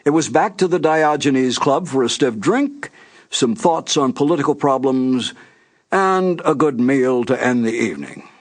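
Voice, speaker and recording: A man's voice: mid-range voice, expressive, measured pace. A man, reading scripted narration as the host introducing classic mystery broadcasts.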